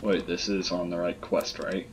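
A man's voice: sits low, flat delivery, moderate pace, slight background noise. A man giving casual gameplay commentary, muttering to himself as he plays. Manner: muttering to self. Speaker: gamer doing a playthrough.

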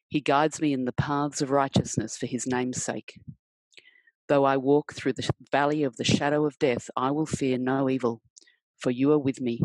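Low-voiced woman, formal close dry reading. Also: a little mellow, no room sound, rough recording. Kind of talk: formal scripture reading and introduction. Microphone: headset on video call.

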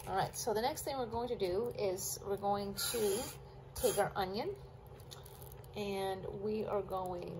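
A woman's voice: mid-range voice, measured pace, noisy background. A woman chatting casually with her viewers while she chops vegetables at her kitchen counter. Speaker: cooking vlogger. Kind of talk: casual cook-along chat.

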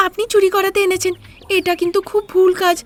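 A woman's voice: very high voice, little pitch movement, fairly quick, pitched above the performer's own voice. A woman voicing an honest, earnest young daughter-in-law in a cartoon tale, plaintive and pleading. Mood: indignant. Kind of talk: cartoon fairy-tale character acting.

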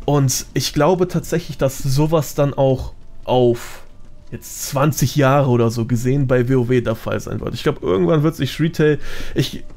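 A man's voice: medium pitch, normal speed, darkish tone. A man giving his opinions as a guest in a casual podcast chat. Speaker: podcast guest, gaming content creator.

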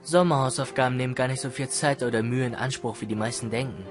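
A man's voice: mid-range voice, fast, natural voice. A man playing a smug, grumpy older teenage boy in an audio drama, with a curt, teasing, dismissive manner. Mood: grumbling. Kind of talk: fan audio drama dialogue in German. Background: music.

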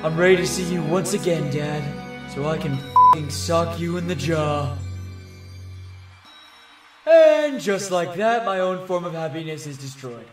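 A man's voice: a little high, expressive, a little slow. A man voicing a grumpy old cartoon athlete, sarcastic and sweary, in a distant, echoey recording with music. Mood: resigned, bitter.

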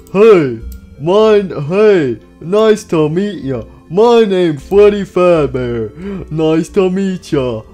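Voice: medium pitch, animated, mellow, faint music behind. A performer voicing a dim, whiny, childlike male animatronic bear with simple-minded, melodramatic speech, in a cartoon. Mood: cheery. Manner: slow, simple-minded speech with wailing outbursts.

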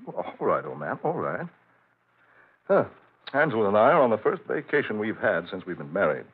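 A man's voice: fairly low pitch, sing-song, fairly quick, breathy edge. An actor playing a hearty, bluff family man visiting an old friend, chummy and sputtering, in 1940s radio drama style. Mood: chatty, bluff. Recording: tinny, noisy old radio recording.

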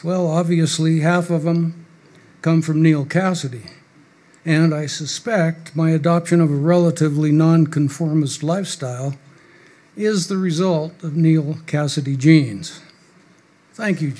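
A man's voice: fairly high pitch, darkish tone. An older man reading prepared, wry remarks at a stand mic on a small club stage. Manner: measured, dry and wry, reading from paper. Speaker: guest speaker. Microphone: stand mic at a podium.

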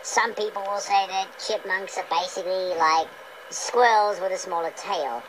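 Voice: mid-range voice, moderate pace, a bit bright, natural voice. An actor voicing a cocky, rambling British male chipmunk with an attitude for a comic kids' radio show. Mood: smug, chatty. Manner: rambling, insistent, boastful, mildly defensive. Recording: noisy off-screen capture of radio playback.

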